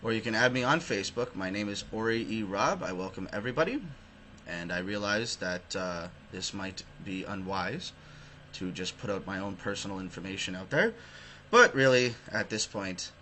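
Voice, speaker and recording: A man's voice: lowish voice, expressive, moderate pace, bright, forceful tone, a little hiss or hum, poor audio. A man with a Canadian accent giving opinionated news commentary, emphatic, sardonic and prone to swearing. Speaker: online commentator hosting his own show. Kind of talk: opinionated news commentary, partly reading articles aloud.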